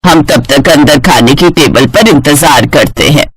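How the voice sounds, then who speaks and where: A woman's voice: deep voice, measured pace, breathy edge, dry recording, voice pushed down. A woman voicing a bossy middle-aged mother-in-law in a cartoon, commanding and matter-of-fact. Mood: bossy, pleased.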